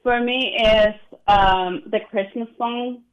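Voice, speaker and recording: A woman's voice: a little high, steady tone, slow, dull audio. A woman from Argentina, a conductor chatting enthusiastically as an interview guest and laughing often. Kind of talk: broadcast interview.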